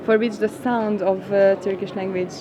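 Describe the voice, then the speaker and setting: Brief: woman interviewer, mid pitch, encouraging and attentive, background noise clearly audible. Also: fairly even intonation, normal speed. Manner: encouraging, frequent short backchannel sounds. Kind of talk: casual interview in English.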